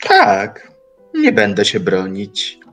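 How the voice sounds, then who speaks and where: Man acting slow, mocking old watchmaker. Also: lowish voice, wildly swooping pitch, a bit bright, faint music behind, full character voice. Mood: calm, resigned. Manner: deliberate, formal, philosophical phrasing. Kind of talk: audio drama acting, literary dialogue.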